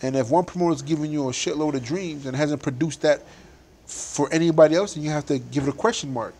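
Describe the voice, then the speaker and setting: A man's voice: fairly high pitch, fast talker, a little mellow, slight background noise. A young adult man, a boxer, talking animatedly and emphatically in a casual interview, laughing often.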